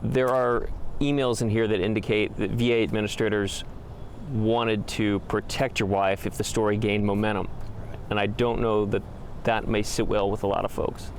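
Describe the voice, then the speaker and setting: A man's voice: fairly low pitch, little pitch movement, normal speed. An American man working as a TV reporter, asking questions on location. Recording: noisy field recording with vehicle ambience.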